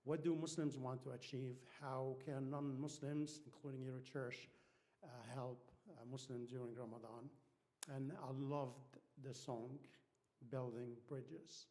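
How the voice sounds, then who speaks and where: Egyptian-accented man, medium pitch, giving a talk. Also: fairly even intonation, a little slow, warm tone. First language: Arabic. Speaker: guest speaker. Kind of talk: informal talk to a congregation. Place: church hall.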